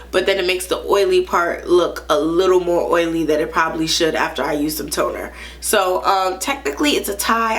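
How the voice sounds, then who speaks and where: A chatty woman in her thirties reviews skincare to camera, her voice a bit low and nasal from a stuffy nose. She talks casually and keeps checking in with a quick "right?". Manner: chatty, says "right?" often. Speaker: beauty vlogger. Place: small indoor room, plain wall.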